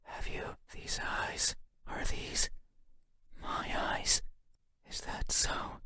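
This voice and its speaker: A man voicing a lonely, curious shadow creature in a horror game, speaking in a breathy, halting, intimate whisper that is softly insistent. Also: exaggerated voice. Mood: wistful, searching, then eerie, fascinated. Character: eerie wandering shadow creature, lonely, curious, longing to be seen.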